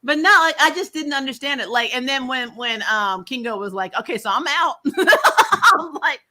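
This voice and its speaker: A woman hosting a casual movie review chat, telling it in an animated, sarcastic way with plenty of swearing. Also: high voice, normal speed. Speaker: podcast host.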